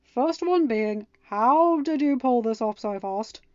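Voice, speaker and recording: Woman reading as a gentle, earnest young man. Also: a little high, animated, slow pace, dry recording, muffled recording. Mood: bewildered.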